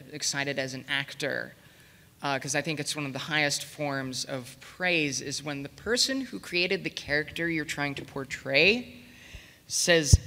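A man telling a casual, jokey anecdote as a panelist, in a halting way. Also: fairly high pitch, bright, forceful tone. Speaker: convention panelist, amateur voice actor. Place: large convention room with audience. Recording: echoey hall, noisy public-address pickup.

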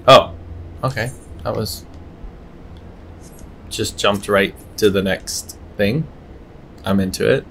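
A middle-aged man giving laid-back, joking reaction commentary as a gaming streamer, in a husky, gravelly voice. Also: slow, long pauses, fairly bright tone, a little hiss or hum, rough recording. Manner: laid-back, low-key talk, joking. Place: indoor room, streaming setup. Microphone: desktop microphone.

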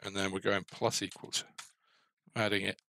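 A man's voice: low voice, flat delivery, normal speed, vocal fry, sharp tone, dry recording. A middle-aged man giving casual, mildly grumbling, self-deprecating commentary as he codes a game, on a headset mic. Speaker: game developer.

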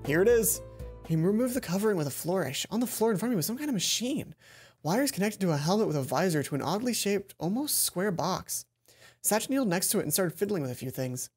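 A man's voice: high-pitched, sing-song, fast, a little mellow, husky. Young man, let's play streamer, headset mic, reading a visual novel, playful asides, game music behind. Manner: playful, self-mocking, stumbles over words.

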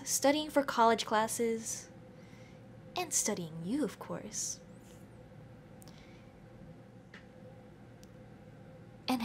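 A woman's voice: high voice, a little hiss or hum. A young woman playing an affectionate, playfully bossy café-owner girlfriend in an ASMR roleplay, speaking expressively to the listener as her partner. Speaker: roleplay voice actor.

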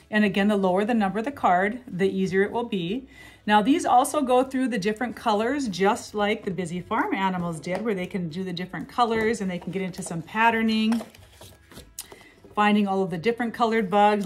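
A middle-aged woman demonstrating educational toys at a table in a small room, explaining each step clearly and cheerfully, with faint music behind her. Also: medium pitch. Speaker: toy seller demonstrating products. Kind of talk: product demonstration.

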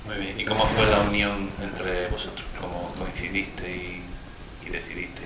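A man hosting an informal interview, asking questions in halting phrases. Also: sits low, flat delivery. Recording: roomy echo, loud background noise.

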